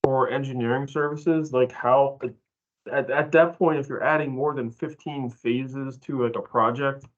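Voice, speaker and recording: A man in a casual work meeting, speaking haltingly and in a questioning way as he reasons through his point. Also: mid-range voice, fairly even intonation. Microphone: online meeting call. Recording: dull, lo-fi online meeting audio.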